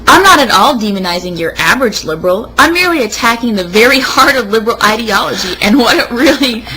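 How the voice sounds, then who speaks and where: A woman's voice: on the high side, animated, fast talker, bright, forceful tone, low-quality recording. A young American woman reading aloud in a mock-dramatic, sarcastic way with casual asides. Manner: sarcastic, emphatic delivery. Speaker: co-host.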